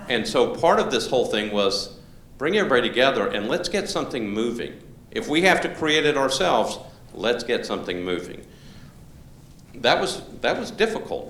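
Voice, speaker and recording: A man's voice: mid-range voice, a bit bright. Man running a meeting in an echoey hall. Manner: informal, conversational, with frequent restarts. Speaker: meeting facilitator. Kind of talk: public meeting facilitation. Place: large room at a public library. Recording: echoey hall, faint room noise.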